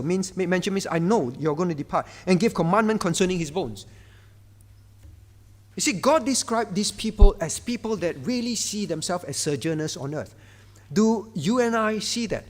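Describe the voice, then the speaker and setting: A man's voice: sits high, expressive, normal speed. A male pastor, preaching a sermon in a conversational way and often turning to the congregation. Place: church hall. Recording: reverberant church hall.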